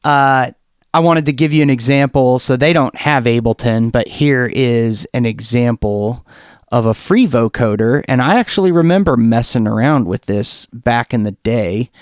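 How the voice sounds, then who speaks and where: A man's voice: medium pitch, normal speed, clean tone, no room sound. Casual man presenting a tutorial, close dry sound. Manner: informal, enthusiastic, thinking aloud. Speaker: music production tutorial presenter. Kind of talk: casual software demo, talking off the cuff.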